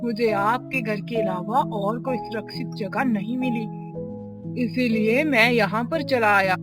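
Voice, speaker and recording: A woman's voice: on the high side, unhurried, lightly acted. A woman playing a courteous, trusting male landlord in a Hindi moral-story cartoon, speaking formally and deferentially. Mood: earnest, trusting. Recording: faint music behind the voice.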